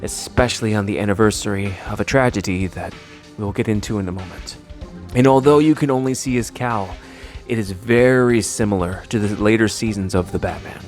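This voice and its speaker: A man's voice: fairly low pitch, a little fast, darkish tone, faint music behind. A man delivering scripted narration as the presenter of a video essay.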